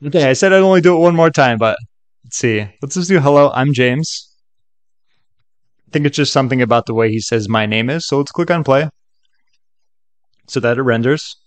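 A man giving a chatty, upbeat, casual software walkthrough as a tutorial presenter. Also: mid-range voice, a little fast, long pauses, dry recording. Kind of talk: casual screen-recording walkthrough.

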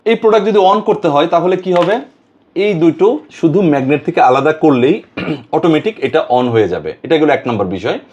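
A man with a Bangladeshi accent and a fairly high voice presents a product in a casual unboxing style. He drops English phrases into his explanations. The recording sounds a little dull and lo-fi. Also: animated, a little fast, a little mellow. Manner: mixes English words and phrases into his talk. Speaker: product reviewer and video host.

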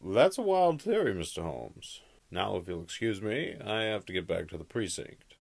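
An actor plays a gruff male police detective in an audio drama. His voice is low and creaky, and he sounds skeptical and challenging. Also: sing-song, normal speed.

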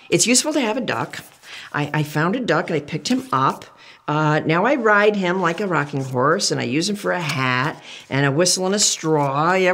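An older woman with a somewhat low voice reads a picture book to children. Her pitch rises and dips in wide, playful swings as she exclaims and asks the child questions. The sound is clean, recorded in a studio. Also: a bit bright.

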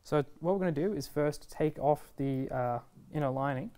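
A man's voice: fairly high pitch, normal speed, mellow, smooth, soft background music. A man in his twenties presenting a casual step-by-step product tutorial in a reassuring, easygoing way. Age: 20s. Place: indoor shop video studio, standing at a table.

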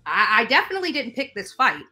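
Middle-aged female, highish forceful voice, indignant video rant, light music in the background. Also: very animated, fast, husky. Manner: indignant, repeats words for emphasis. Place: living room, on a couch.

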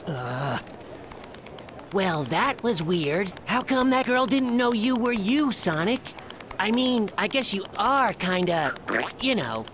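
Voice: mid-range voice, lively intonation, slow pace, a bit bright, a little hiss or hum. An actor playing a small cheerful, talkative creature sidekick in a video game, hesitant and encouraging. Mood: hesitant, reassuring. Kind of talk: animated video game acting. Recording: music behind the voice.

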